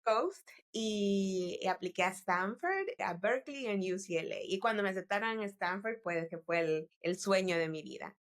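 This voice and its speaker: A woman from El Salvador, a guest in an interview. She speaks thoughtfully, often restarts phrases and mixes in English business terms. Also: mid-range voice. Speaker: guest entrepreneur. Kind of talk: interview conversation.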